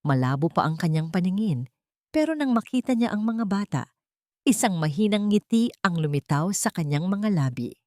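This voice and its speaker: A woman narrating a first-person drama story in a calm, steady, earnest way. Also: lowish voice, normal speed, no room sound, clean studio sound.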